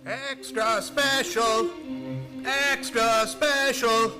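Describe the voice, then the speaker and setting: Man acting a very high, drawling newsboy. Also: fairly even intonation, drawn-out words, full character voice. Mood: insistent, calling. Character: insistent street newspaper seller. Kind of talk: old-time radio drama calling out. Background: music.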